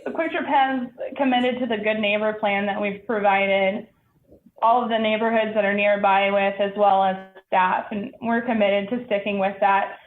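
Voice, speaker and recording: A woman's voice: medium pitch, fairly even intonation, normal speed, narrow, tinny audio. Woman presenting in an echoey, thin-sounding room. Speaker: applicant representative for a store chain. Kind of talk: presentation and answers at a public hearing.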